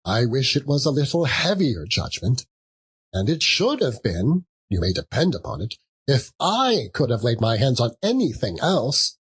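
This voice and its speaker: A man's voice: medium pitch, big pitch swings, moderate pace, slightly rough, pitched above the performer's own voice. A man voicing a brazen, callous old charwoman in a theatrical storybook reading, scornful and exclamatory. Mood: scornful, defiant. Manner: exclamatory, scornful, laughing between phrases.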